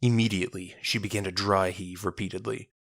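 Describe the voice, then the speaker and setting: A narrator reading a scripted audio drama in a measured, solemn, dramatic manner. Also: deep voice, steady tone, vocal fry, a bit bright, no echo, studio quality.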